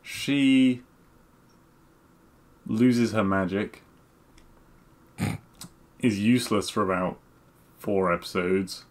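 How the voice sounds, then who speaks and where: A man co-hosting a podcast in casual chat, talking in a halting, hesitant way. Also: on the low side. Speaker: podcast co-host.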